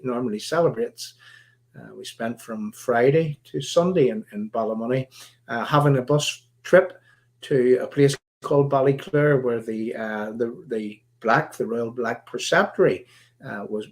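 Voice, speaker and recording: Croaky Northern Irish man, halting. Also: medium pitch. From Northern Ireland. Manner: halting, many fillers and restarts. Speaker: livestream host. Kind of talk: rambling live-stream monologue.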